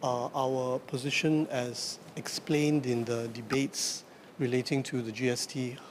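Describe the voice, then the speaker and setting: A soft-spoken man, an opposition member of parliament, answering in a measured, hesitant way. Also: mid-range voice, little pitch movement, a little hiss or hum. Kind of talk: parliamentary debate response. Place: parliament chamber.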